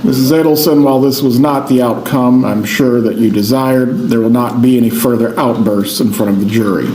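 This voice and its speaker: Formal, mellow-voiced American male judge, roomy courtroom. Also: medium pitch. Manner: measured, formal, deliberate. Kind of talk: formal courtroom proceedings, reading a verdict. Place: indoor courtroom. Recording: roomy courtroom sound with faint noise.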